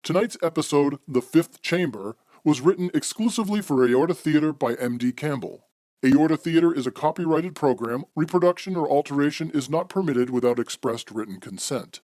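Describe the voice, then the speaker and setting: A man's voice: on the high side, expressive, brisk, a little mellow, dry recording, clean studio sound. A man hosting an audio theater program, delivering a scripted, formal and theatrical introduction in the manner of old-time radio.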